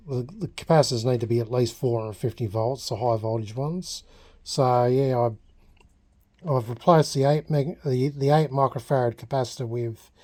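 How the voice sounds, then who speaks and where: An Australian man hosting a casual hobby vlog at an indoor workbench, talking in a halting, rambling way and correcting himself as he goes. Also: medium pitch, dark tone. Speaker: hobbyist video host.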